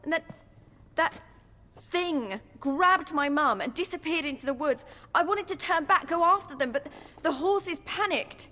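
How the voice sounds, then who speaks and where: A defiant, bitter young woman in a dramatic video game. Her voice sits fairly high and carries a British accent, with a long reverb around it. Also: expressive, a little slow, pushed and bright, full character voice. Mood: shaken, distressed.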